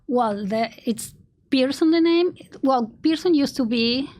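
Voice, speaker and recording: A woman's voice: high voice, measured pace. A woman from Argentina with an Argentine Spanish accent, a native Spanish speaker, giving earnest interview answers with many restarts. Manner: earnest, with frequent restarts and soft laughs. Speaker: marketing executive guest.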